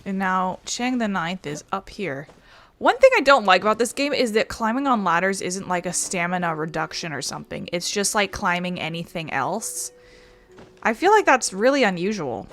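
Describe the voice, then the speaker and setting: A woman's voice: medium pitch, lively intonation, moderate pace, fairly bright tone. A woman giving casual gameplay commentary as a game streamer.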